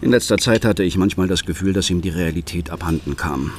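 A low-pitched actor as a bitter middle-aged man narrates a radio play in the first person. He is sardonic and contemptuous, sharing his private scorn for those around him. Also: a little fast, slight background noise, lightly acted. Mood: disdain.

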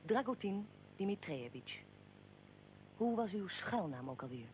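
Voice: mid-range voice, very animated, a little slow, a little mellow, slight background noise. A performer playing a probing, well-prepared interviewer and narrator in a historical radio drama, formal and articulate. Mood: formal, narrating.

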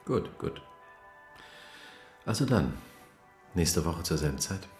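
A calm therapist in a radio play. His voice is very deep, and he keeps to brief, neutral prompts. The room sounds echoey. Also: takes it slowly, faint music behind, full character voice. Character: calm therapist questioning the maid.

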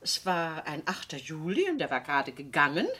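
A woman's voice: low voice, very animated, brisk, sharp tone, husky, full character voice. An actress playing a domineering, spiteful middle-aged woman in a radio drama, theatrical, scornful and insistent. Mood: stern revelation. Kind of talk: German radio drama acting.

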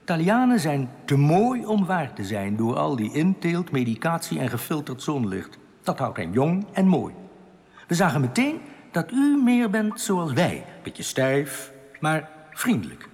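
An actor playing a male alien outcast leader, reassuring, earnest and quietly bitter, explaining things deliberately in a radio drama. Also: a little high, wildly swooping pitch, full character voice. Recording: long cavernous reverb.

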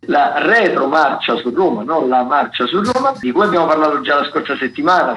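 An Italian man hosting a radio show, running through event listings in a semi-improvised way, often halting and correcting himself. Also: fairly high pitch, pushed and bright, echoey room, rough recording. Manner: halting, with self-corrections and trailing hesitations. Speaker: radio host.

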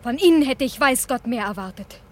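An actress playing an anxious, loyal wife who pleads and reproaches, in a German crime radio play. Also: female voice, sits high, expressive, brisk. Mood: indignant, reproachful. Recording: faintly noisy vintage radio play recording.

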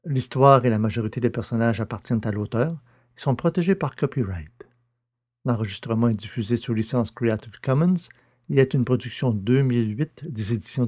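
A man with a mild sore throat narrating a scripted audio story and presenting it, subdued and low in energy. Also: dark tone.

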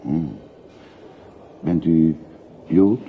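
A man's voice: rumbling low, drawn-out words, exaggerated voice. An actor playing an older working man in a radio play, curious and courteous, asking polite, probing and hesitant questions. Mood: inquisitive. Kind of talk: radio drama acting. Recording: muffled, noisy old radio play recording.

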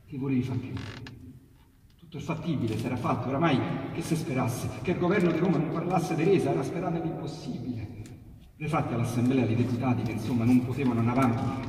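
A man with a Roman accent reciting dialect sonnets theatrically with piano, dramatic and sweeping from loud to hushed. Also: mid-range voice, a little hiss or hum. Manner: dramatic, with large swings in loudness. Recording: echoey hall, distant mic, piano.

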